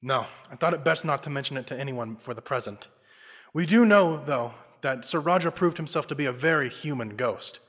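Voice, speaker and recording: A man's voice: medium pitch, expressive, a little fast, a bit bright. A man playing a bold, suave crime-fighting investigator in an old-time radio drama, speaking with theatrical confidence. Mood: matter-of-fact. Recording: long reverb with faint music.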